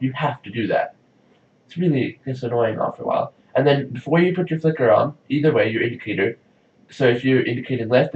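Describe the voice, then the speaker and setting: A young man from South Africa rambling casually in a video diary, leaning heavily on "like". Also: medium pitch. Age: young adult. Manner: rambling, chatty, says "like" often. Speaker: vlogger. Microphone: camera mic from a distance. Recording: roomy, distant, dull lo-fi sound.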